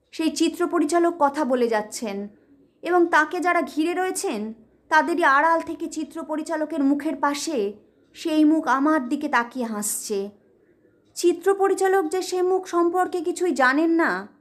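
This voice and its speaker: A woman reading a story aloud in a dramatic storytelling style. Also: high voice. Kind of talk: dramatic audio story narration.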